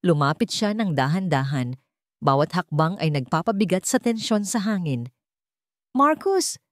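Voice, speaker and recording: Give the narration to a woman with a fairly low voice. She tells a scripted drama as a storyteller, and the sound is dry with little room in it. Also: expressive, moderate pace, no room sound.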